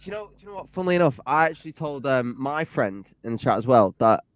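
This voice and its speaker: A man's voice: mid-range voice, sing-song, fast talker, dry recording, dull audio. A young man, a guest in a casual livestream chat, rambling with jokey, self-deprecating asides.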